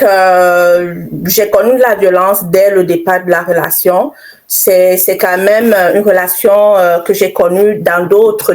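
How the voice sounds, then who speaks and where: Slow-speaking, reflective middle-aged woman. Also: mid-range voice, darkish tone. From Cameroon. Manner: halting, reflective, emphatic repetition. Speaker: interview guest, author. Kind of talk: personal testimony in an interview.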